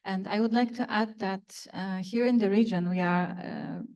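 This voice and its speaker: A woman's voice: medium pitch, moderate pace, darkish tone, dull audio. A woman from Poland, answering on a conference panel, hesitant with many fillers and restarts. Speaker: panelist, university open science staff. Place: conference hall.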